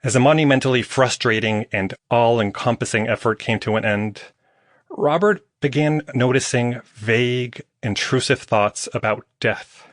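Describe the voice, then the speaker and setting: A man's voice: medium pitch, moderate pace, dry recording. A man reading scripted nonfiction audiobook narration as the author of the book. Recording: close, dry studio recording.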